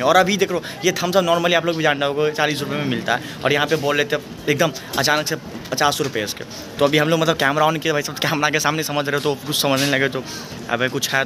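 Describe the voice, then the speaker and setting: Chatty young man vlogging at a station. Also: a little high. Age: young adult. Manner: chatty, joking, addresses viewers directly. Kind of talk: casual travel vlog narration. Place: outdoors on a busy railway platform. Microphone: phone camera held at arm's length. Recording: noisy outdoor station ambience.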